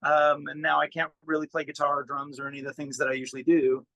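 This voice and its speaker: A man talks casually as an interview guest, thinking aloud in a halting, searching way. His voice sits a little high and has a bright, forward edge. The sound is close and dry, as a musician explains his own work. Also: monotone, creaky, no echo.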